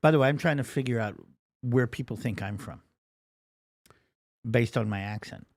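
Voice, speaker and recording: A man with a mixed Israeli and East Coast accent, co-hosting a casual live stream and speaking softly, often in short replies. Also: mid-range voice, normal speed. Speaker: co-host.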